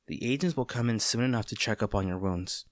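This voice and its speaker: A man playing a conflicted heroic captor in a dramatic audio roleplay, earnest and halting, tender toward an old friend turned enemy. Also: on the low side, no echo, clean studio sound, natural voice. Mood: flustered. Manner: earnest, halting, formal wording with dramatic pauses.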